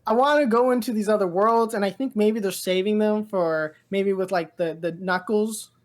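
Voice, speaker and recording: A woman co-hosting a pop-culture podcast. She talks in a loose, gushing ramble full of filler words, like an excited fan chatting with a friend. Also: mid-range voice, normal speed. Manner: rambling, heavy use of "like" and "dude"; enthusiastic, gushing. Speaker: podcast co-host. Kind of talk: casual pop-culture chat.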